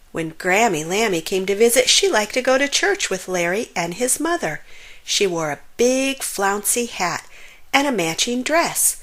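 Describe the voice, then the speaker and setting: A woman reading a children's picture book aloud as an expressive storyteller, stretching words for emphasis. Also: medium pitch. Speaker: storybook reader.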